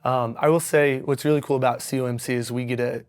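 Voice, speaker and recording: A young man with a hoarse, raspy voice from yelling, chatting upbeat and animated as a dentist guest on a local talk show. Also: mid-range voice, fast talker. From Missouri Ozarks. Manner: upbeat, animated, many fillers. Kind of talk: casual interview.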